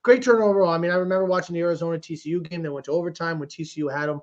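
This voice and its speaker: A high-voiced man races through casual sports talk as a podcast co-host. He is emphatic and exclamatory, often repeating himself to drive a point home. The sound is slightly dull and lo-fi. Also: fairly even intonation, racing.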